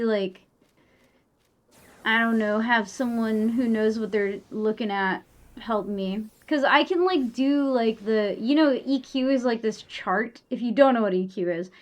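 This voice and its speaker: A young adult woman streaming live, chatting casually and rambling with lots of filler words and the odd swear. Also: fairly high pitch.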